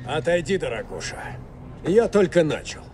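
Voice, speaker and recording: A man's voice: on the high side, sing-song, moderate pace, noisy background. An actor voicing a middle-aged corrupt policeman, threatening and condescending, in a dubbed video game. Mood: smug menace. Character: menacing, corrupt male police officer. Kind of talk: dramatic video game dub acting. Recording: music under the dialogue.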